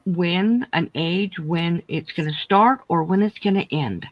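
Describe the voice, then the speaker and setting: A woman's voice: fairly low pitch, unhurried, no echo. Chatty lady in her 60s, long family stories, kinda muffled dry lo-fi sound. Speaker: livestream co-host. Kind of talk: casual chat.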